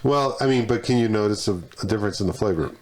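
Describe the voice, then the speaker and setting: A man's voice: fairly low pitch, fast. A man co-hosting a podcast in casual chat, joking and swearing as he tells stories. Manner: profane, joking, storytelling. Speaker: podcast co-host.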